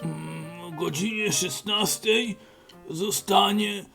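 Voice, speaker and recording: A man's voice: high voice, takes it slowly, creaky, sharp tone, soft background music, heavily acted. A man voicing a grumpy male fire chief who gives curt, impatient replies in an amateur cartoon dub. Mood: grumbling.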